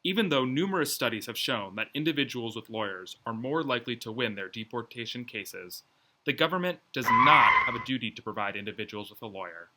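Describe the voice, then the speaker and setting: A man presenting an educational video in a scripted, measured, explanatory way. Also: mid-range voice, pushed and bright. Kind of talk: scripted informational narration.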